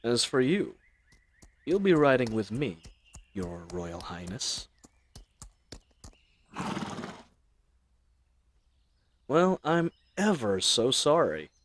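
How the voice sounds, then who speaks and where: Man acting a lively, roguish friendly bandit leader. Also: mid-range voice, slow, slight background noise. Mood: mocking, breezy. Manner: folksy, drawling slang, teasing mock courtesy. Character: roguish, chatty, friendly male bandit leader, mockingly polite. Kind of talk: scripted audio roleplay addressed to the listener.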